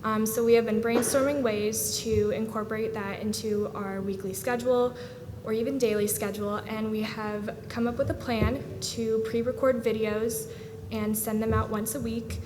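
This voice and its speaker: A teenage girl delivers a formal report at a school board meeting. She speaks in a flat, even line, earnest and steady, pausing often on "um". Her voice carries a long reverb through the meeting room, and a clear hum of background noise sits under it. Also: on the high side. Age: late teens. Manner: steady and earnest, frequent "um" pauses. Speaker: student association president.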